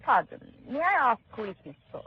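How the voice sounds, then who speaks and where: Sinister madame, old radio. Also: female voice, on the high side, sing-song, a little fast. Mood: probing. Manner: formal, theatrical. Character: sinister foreign madame, falsely frail, coldly vengeful. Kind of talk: 1930s radio acting. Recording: dull, noisy 1930s radio recording.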